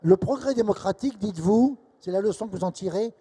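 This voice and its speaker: A man conducting a literary interview, quoting from a book in wordy, deliberate phrasing with frequent interjected tags. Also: high-pitched, dark tone, slightly rough. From provincial France.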